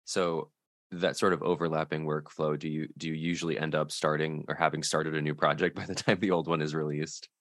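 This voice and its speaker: A man's voice: very deep voice, little pitch movement, a little fast, fairly bright tone, no echo. A man hosting a casual interview, asking rambling, hesitant questions with light laughs. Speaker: podcast host.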